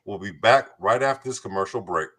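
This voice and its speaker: A man's voice: lowish voice, a little fast, pushed and bright. A man hosting a community talk show, moving between scripted introductions and playful, jokey banter.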